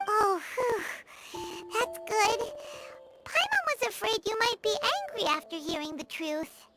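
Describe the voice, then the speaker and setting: A woman voicing a tiny, childlike, cheerful fairy companion in a video game, expressive and squeaky, talking about herself in the third person. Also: a little slow, over loud music, exaggerated voice, low-quality recording. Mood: relieved.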